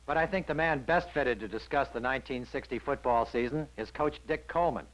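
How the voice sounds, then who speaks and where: A man with an American accent narrates a campus newsreel in 1960s style. His delivery is scripted and polished, with a wry touch, at a middling pitch. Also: fairly quick, a bit bright, rough recording.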